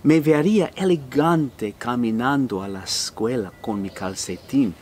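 A middle-aged man reading a children's picture book aloud outdoors in a slow, animated, theatrical way. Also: medium pitch. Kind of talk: expressive children's read-aloud in character voices. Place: outdoors in a fenced backyard. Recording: outdoor ambient noise.

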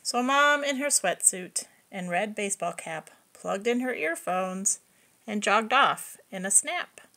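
A woman reading a picture book aloud to young children, expressive and explaining things simply. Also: medium pitch, measured pace. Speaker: preschool story reader.

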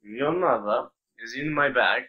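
A man's voice: medium pitch, measured pace, breathy edge, highs cut off. A man bantering in online game voice chat, brash and argumentative in broken English. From Poland. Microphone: gaming voice chat mic.

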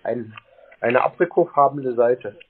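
A man with a husky voice making a prank phone call, stalling in a deadpan, silly way over a narrow phone-like line. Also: medium pitch, a little slow, a crowd in the background. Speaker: prank-calling scam baiter.

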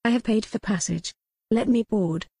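A woman's voice: fairly high pitch, moderate pace, warm tone, breathy edge, no echo. A woman voicing an imperious, headstrong young noblewoman in an audiobook, formal and insistent. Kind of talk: audiobook character dialogue.

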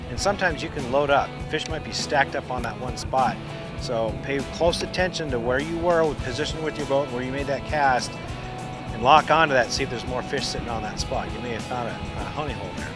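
A middle-aged man giving practical fishing tips outdoors on a boat by a lake, explaining step by step with frequent restarts. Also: on the high side. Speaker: fishing instructor. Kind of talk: outdoor instructional talk. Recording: music bed under the voice. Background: guitar music.

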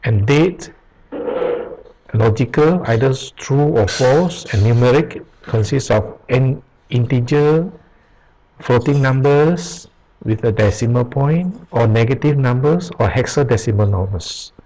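A man teaching programming over a screen recording, reading aloud slowly with pauses and restarts. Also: medium pitch, expressive, dark tone, slight background noise, low-quality recording. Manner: slow, halting, reads aloud with pauses and restarts. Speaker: programming tutor. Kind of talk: screen-recorded tutorial reading from web pages. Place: at a computer, voice-over on screen capture.

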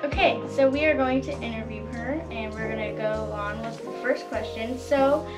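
High-voiced young woman interviewing. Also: normal speed. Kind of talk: vlog interview with prepared questions. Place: small backstage dressing room. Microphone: camera across the room. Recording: roomy, distant camera mic, background music.

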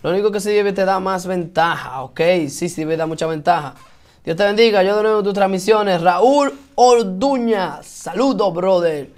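A man's voice: high voice, moderate pace. A man with a Dominican accent hosting a casual home live stream, chatty and encouraging, greeting viewers and answering their comments, repeating points for emphasis. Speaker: host of a live stream for musicians.

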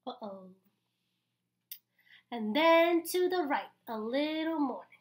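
A young adult woman reading a picture book aloud to small children in an animated, exaggerated, encouraging way, with pauses for them to join in. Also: sits high, slight background noise. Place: small indoor room.

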